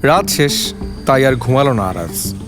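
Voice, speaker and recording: A man's voice: medium pitch, expressive, measured pace, music in the background. A man giving a dramatic scripted narration of an audio story.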